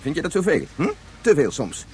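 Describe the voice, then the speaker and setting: An actor playing an anguished, regretful scientist of great age in a Dutch science fiction radio play, speaking haltingly and turning back on his own words. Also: on the high side, huge pitch swings, a little mellow, slight background noise. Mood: indignant. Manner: halting, repetitive, ruminative. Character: anguished 177-year-old scientist, brooding, regretful father.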